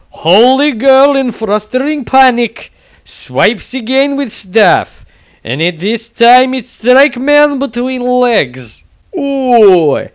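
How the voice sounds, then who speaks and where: A man's voice: high-pitched, dry recording, studio quality, heavily acted. A man voicing a burly, blunt, gleeful fantasy warrior in an audiobook, speaking broken English with a Slavic, Russian-like accent. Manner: broken English, blunt exclaiming, hearty laughs. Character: boisterous burly Kislevite warrior, blunt, gleeful, diary-writing. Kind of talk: in-character fantasy audiobook diary.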